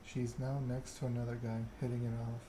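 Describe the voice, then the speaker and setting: Male ASMR narrator reading second-person fiction. Measured, unhurried, narrow pitch range, with clear background noise. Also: little pitch movement. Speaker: video game streamer reading game text aloud.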